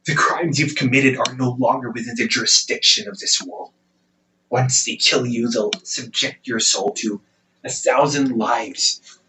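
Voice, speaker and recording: A young man playing a protective, weary half-demon older brother in a dramatic audio roleplay, pleading and exasperated with emphatic, halting delivery. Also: medium pitch, bright, forceful tone, distant mic, a little hiss or hum, low-quality recording. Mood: grave warning.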